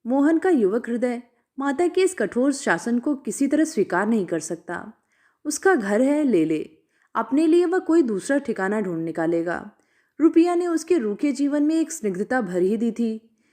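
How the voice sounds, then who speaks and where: High-pitched, animated woman storyteller. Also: moderate pace. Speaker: storyteller and narrator. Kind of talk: expressive literary audiobook narration.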